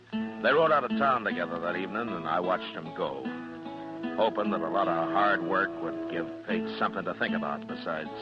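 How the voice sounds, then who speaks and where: An actor playing a steady, weary frontier marshal in a radio Western, with a measured, probing, laconic manner. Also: male voice, sits low, close to an everyday voice. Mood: reflective. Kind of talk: 1950s radio Western acting with first-person narration. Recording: dull vintage radio recording with music.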